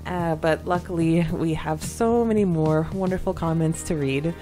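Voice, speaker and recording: A woman co-hosting a podcast in casual, chatty style, reading comments with hesitant pauses and giggles. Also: low voice, mellow, faint music behind. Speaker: podcast co-host.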